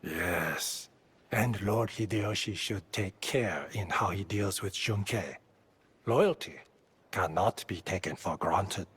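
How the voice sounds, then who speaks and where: An actor playing a sage, formal keeper of clan lore in a video game, with a very raspy, cautionary delivery. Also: male voice, sits low, slow pace, fairly bright tone, full character voice. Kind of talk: video game cutscene acting.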